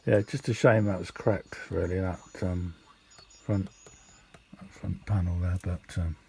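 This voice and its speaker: Mellow, low-voiced British man, rambling casually. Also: steady tone, slow pace. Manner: rambling, hesitant, easygoing. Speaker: hobbyist radio demonstrator. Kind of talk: casual, unscripted video demonstration. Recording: clearly noisy amateur home recording.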